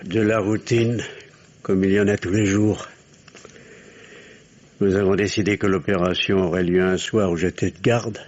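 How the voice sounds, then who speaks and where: An actor playing a remorseful, weary middle-aged father in a radio drama, husky and grave. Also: low-pitched, steady tone, moderate pace, pauses often, a little mellow, lo-fi sound. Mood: grave confession. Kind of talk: French radio drama acting.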